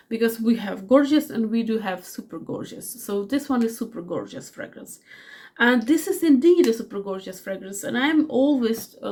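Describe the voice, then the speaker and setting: A woman's voice: medium pitch, a little mellow. Chatty woman in her forties with a cold. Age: 40s. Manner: chatty, rambling with many fillers and restarts. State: has a cold. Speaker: fragrance reviewer. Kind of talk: casual product review vlog.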